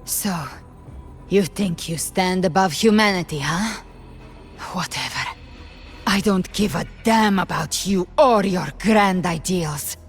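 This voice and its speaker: A woman's voice: on the low side, takes it slowly, faint music behind. An actress playing a proud, battle-hardened warrior woman in a video game, cool, blunt and scornful in her defiance.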